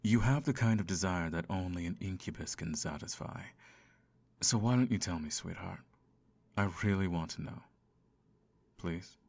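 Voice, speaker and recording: A low-voiced male incubus, acted out by a man, speaks close and dry with no room sound. He is a lust demon with a sardonic, seductive temper and a theatrical, long-suffering manner, made for an ASMR fantasy roleplay. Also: dry recording. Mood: coaxing, seductive. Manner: theatrical, sighing, dry sarcasm.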